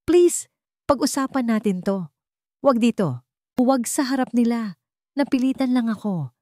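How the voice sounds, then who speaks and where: A woman's voice: a little high, animated, unhurried, darkish tone, no echo, clean studio sound, pitched above the performer's own voice. A woman voicing a cowardly husband who pleads and makes excuses in a cracked voice, in an audiobook drama. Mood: tearful pleading. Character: cowardly, pleading husband, blaming his mother.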